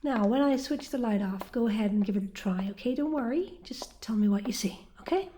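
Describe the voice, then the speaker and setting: A kindly school nurse in an audio drama, played by a woman. Her voice sits high and very mellow. She is folksy and soothing, a little flustered, with halting phrases. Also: expressive, a little hiss or hum, natural voice. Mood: chirpy, kindly.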